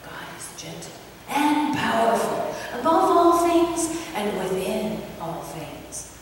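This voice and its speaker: A woman plays an ancient, wise she-turtle from a storybook, gentle and solemn. Her words come very slowly and with great measure. She is heard at a distance in a heavily reverberant hall. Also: medium pitch, very animated. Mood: solemn, assured. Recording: echoey hall, distant mic, background noise.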